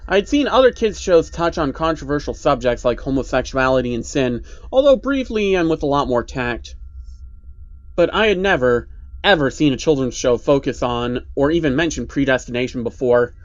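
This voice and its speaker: A man's voice: medium pitch, expressive, moderate pace, dry recording, muffled recording. A man narrating a creepy first-person story as a storyteller. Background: background music.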